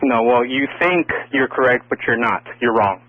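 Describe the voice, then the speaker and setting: A thin, band-limited air traffic radio transmission. A man with a medium-pitched voice speaks as tower controller, clipped, firm and authoritative. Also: normal speed, phone-quality sound. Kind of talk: clipped radio instructions and rebukes.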